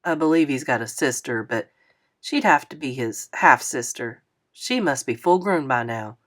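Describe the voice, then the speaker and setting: A woman doing a low, teasing older man. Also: animated, moderate pace. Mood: musing. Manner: drawling, playful ribbing.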